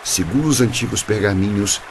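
An actor playing a calm, mysterious guide in a Brazilian radio drama, measured, formal and didactic. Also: male voice, fairly low pitch, lightly acted. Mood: explaining. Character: calm, mysterious male guide who gives solemn warnings. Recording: noisy background ambience.

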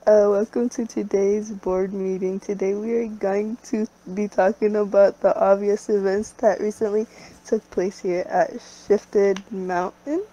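A hesitant female company owner , voiced by a woman in a fan-made game story. Her voice is mellow, flat and unhurried. She stops and restarts often as she speaks. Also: medium pitch, highs cut off, close to an everyday voice. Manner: hesitant, halting speech with fillers and nervous laughs.

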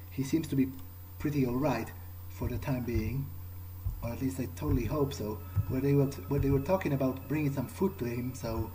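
A man thinking aloud in character as a vampire during a video game, in a halting, musing way. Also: mid-range voice, dark tone, poor audio. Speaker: gamer roleplaying in character. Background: video game ambience.

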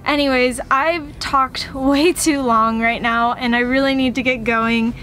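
A woman's voice: high-pitched, fairly even intonation, a little slow, fairly bright tone, slight background noise. A young woman chatting casually to her viewers as a vlogger, inside a truck cab. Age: young adult. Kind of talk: casual vlog chat to viewers. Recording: music behind the voice. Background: music.

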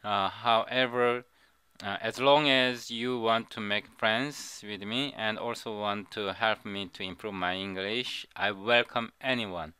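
Korean-born middle-aged man, slow and halting, bright clear voice, dry close sound. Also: fairly low pitch, fairly even intonation, no room sound. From South Korea. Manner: halting, repeats and restarts words. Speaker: programming tutor. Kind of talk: screen-recorded coding tutorial.

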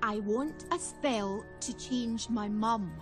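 A woman playing a headstrong teenage princess in an animated film. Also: a little high, slow pace. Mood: determined. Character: headstrong teenage girl, a young Scottish princess. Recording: loud film music behind the voice.

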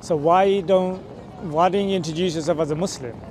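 A man's voice: on the high side, brisk, a little mellow, a little hiss or hum. Persuasive man preaching in the street. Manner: persuasive, questioning, repeats "right?" and "yeah?". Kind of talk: street conversation. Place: busy outdoor city plaza. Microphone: camera mic from a few meters.

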